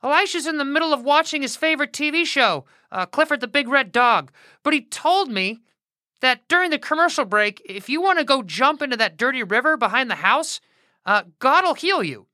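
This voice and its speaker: A woman voicing a nervous young male servant who talks in a hurried, hesitant rush with filler sounds. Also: sits high, expressive, sharp tone, no room sound, studio quality, voice pushed up.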